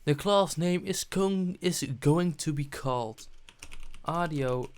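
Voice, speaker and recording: A man's voice: on the high side, slow, clean tone. A man presenting a programming tutorial, narrating his steps on screen as he goes.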